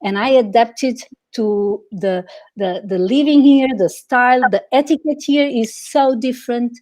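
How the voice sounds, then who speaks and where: A woman from Portugal, an etiquette consultant, chatting on a video call with lively restarts. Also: a little high, measured pace. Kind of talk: casual video call chat.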